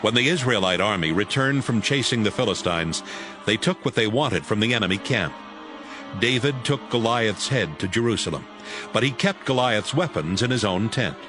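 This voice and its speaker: A man's voice: on the low side, animated, normal speed. A man narrating a dramatized audio Bible as scripted storytelling. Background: music.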